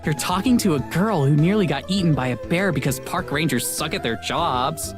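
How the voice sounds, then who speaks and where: A woman's voice: sits low, moderate pace, close to an everyday voice. Casting: actress as brash young female ghost-show presenter, casual and salty, hurt underneath, visual novel style, music behind. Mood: showy cheer. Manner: casual, salty, performed cheer. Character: young woman paranormal show host, brash, hiding heartbreak. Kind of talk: visual novel character acting.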